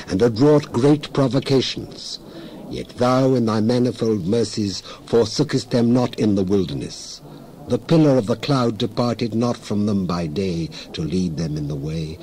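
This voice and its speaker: A man giving a dramatized, formal scripture reading in a solemn, declamatory manner with deliberate phrasing. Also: low-pitched, a little mellow, slight background noise, rough recording. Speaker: Bible reader.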